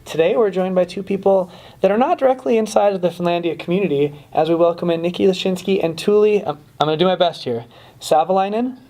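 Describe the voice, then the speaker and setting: Guy hosting a weekly interview show, talks fast, chatty, lots of ums. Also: high voice, dark tone. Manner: chatty, many fillers and restarts. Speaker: host. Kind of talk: casual interview.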